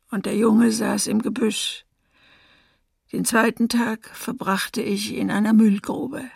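A woman's voice: on the high side, creaky, no echo, studio quality, heavily acted. A woman playing a massacre survivor giving grave, restrained testimony in a documentary radio drama. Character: woman survivor of a wartime massacre recounting her escape.

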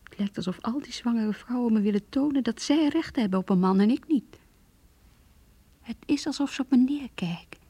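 An actress playing a heartbroken, quietly bitter mistress in a radio drama monologue, very breathy, hushed and sorrowful. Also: female voice, a little high, moderate pace, no echo. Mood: wistful, bitter, resigned. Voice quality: very breathy, often near whisper. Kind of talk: radio drama inner monologue, diary reading.

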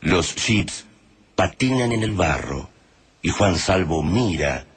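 A man's voice: low voice, expressive, slow pace, slightly rough, full character voice. A man with an Argentine accent performing as the grave, poetic narrator of a radio drama about war. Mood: solemn. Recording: noisy ambience behind the voice.

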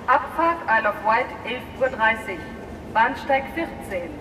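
An actress in a German radio crime drama playing an impersonal railway station announcer with formal delivery in an echoing hall. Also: female voice, on the high side, animated, a little slow. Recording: echoey station hall, noisy background.

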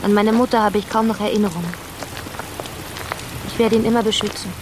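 A woman's voice: medium pitch, fairly even intonation, a little fast, lightly acted. Guarded, resolute woman, played by an actress, rain-like noise behind. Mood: earnest, guarded. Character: guarded, resolute daughter of a politician, seeking family truth. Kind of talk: German radio crime drama acting.

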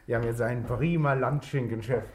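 A man's voice: mid-range voice, fast talker, darkish tone, slight background noise, lightly acted. An actor playing a jokey, deferential police subordinate in a German crime radio play, speaking casually and obligingly to his chief. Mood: cheerful, obliging.

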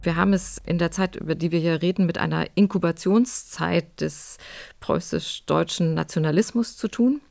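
A woman's voice: lowish voice, normal speed, gravelly, a little mellow. A woman giving explanatory, analytical commentary as a history expert, in academic wording.

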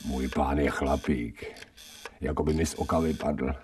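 A bottomless, rumbling dog's voice in a radio play. He mutters crude, slangy, cheeky asides to himself. The sound is distant, with loud noise around it. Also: fairly even intonation. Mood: gleeful, admiring. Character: stray male dog thinking aloud, cheeky, crude, admiring of master. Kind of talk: radio drama inner monologue.

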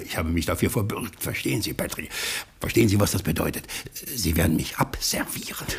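A ruined gangster boss in a radio play. The voice is very low and husky, hesitant and stammering. He is self-pitying and anxious, swinging between secretive confiding and pleading bluster. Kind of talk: German radio play acting.

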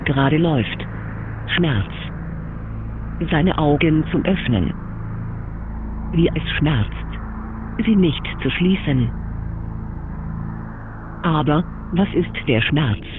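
An actor playing a solemn man who muses in measured, declamatory short phrases in an experimental radio drama. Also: expressive. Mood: solemn, detached. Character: solemn male voice musing abstractly on pain. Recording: dull lo-fi sound, rumbling background noise.

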